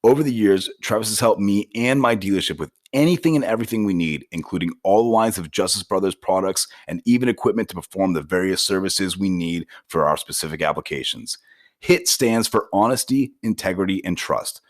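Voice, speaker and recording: A man reads a scripted sponsor spot as a podcast host. His voice is low and the sound is very dry, with hardly any room to it. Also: a little fast, no room sound. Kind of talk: scripted ad read.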